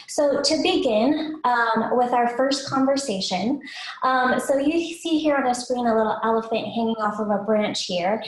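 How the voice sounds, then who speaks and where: A woman with a soft voice presenting a webinar to parents in an informal, explanatory way, posing questions as she goes. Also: on the high side. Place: indoors, online webinar. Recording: roomy, echoey webinar audio.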